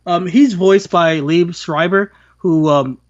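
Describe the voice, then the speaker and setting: Higher-pitched man in dry, dull audio. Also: slow pace, no room sound. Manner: acts out imagined quotes while talking. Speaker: podcast co-host reviewing films. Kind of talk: casual opinionated review chat.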